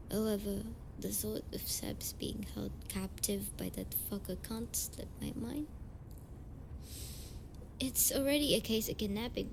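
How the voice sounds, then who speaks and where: A young woman playing an emotional, halting tsundere delinquent heiress in an amateur audio roleplay. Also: mid-range voice, vocal fry, noisy background. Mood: upset, indignant. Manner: emotional, halting, swears freely. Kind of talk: amateur audio roleplay, intimate dramatic monologue.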